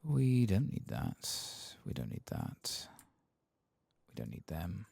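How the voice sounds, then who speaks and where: A man giving soft-spoken ASMR game commentary, murmuring and often slipping into whispers close to the microphone. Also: low-pitched, wildly swooping pitch, slow, vocal fry, slightly rough, dry recording.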